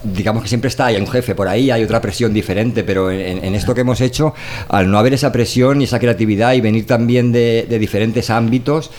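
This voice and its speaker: Fast-talking man, low voice. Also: fairly even intonation, darkish tone. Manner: rambling, reflective. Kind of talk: casual discussion.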